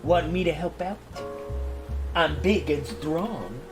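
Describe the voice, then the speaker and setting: A man voicing a big muscular dog as a laid-back mafia-style tough guy in an improvised story. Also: a little high. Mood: easygoing. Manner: slow, casual mafia-style swagger. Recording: voice under prominent music.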